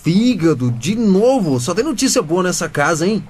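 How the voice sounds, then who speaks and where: A rebellious Brazilian teenage boy in a radio comedy drama. He is cheeky, sarcastic and slangy, with a lightly high male voice and very lively intonation. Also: moderate pace, slight background noise. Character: cheeky rebellious fifteen-year-old boy. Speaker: actor.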